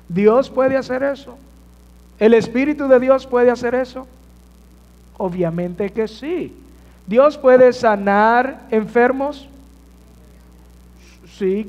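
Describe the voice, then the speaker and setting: A high-voiced man preaches from the pulpit of a church, taking his time over each phrase. He keeps asking the congregation questions and answering them himself. The sound carries a long reverb from the sanctuary. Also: a little mellow, lo-fi sound. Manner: addresses congregation with rhetorical questions. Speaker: pastor. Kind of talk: sermon.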